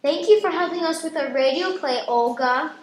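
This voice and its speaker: A woman's voice: sits high, moderate pace, natural voice. A woman playing an earnest, matter-of-fact young sister in an amateur radio play. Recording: very echoey room, faint noise.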